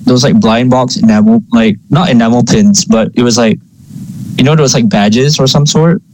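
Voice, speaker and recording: A man co-hosting a casual podcast over a remote call, talking in a relaxed, halting way with lots of fillers. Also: medium pitch, low-quality recording. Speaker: podcast co-host. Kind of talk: casual chat.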